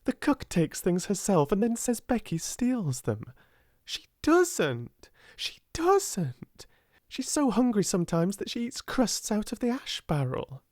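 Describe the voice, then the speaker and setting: A woman's voice: low voice, moderate pace, mellow, no room sound, studio quality, voice pushed up, close to an everyday voice. A woman voicing a proud, passionate young schoolgirl in a storybook, speaking fervently and emphatically. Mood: furious.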